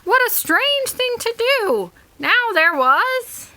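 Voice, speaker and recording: A woman reading a rhyming storybook aloud as narrator, in a deliberate, expressive way. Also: extremely high pitch, dry recording, low-quality recording.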